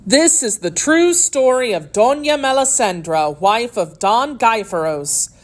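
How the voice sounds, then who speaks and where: An excitable young boy storyteller, as a woman reader puts him on. He announces the action earnestly and dramatically, with a lifted, swinging pitch. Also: measured pace. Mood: earnest announcing.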